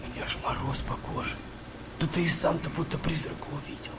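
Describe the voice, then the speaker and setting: An actor playing an anxious, superstitious young wartime sailor in a radio play, hesitant and often half-whispering. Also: male voice, fairly high pitch, steady tone, quick pace, very noisy, natural voice. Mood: uneasy. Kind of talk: Russian radio play acting.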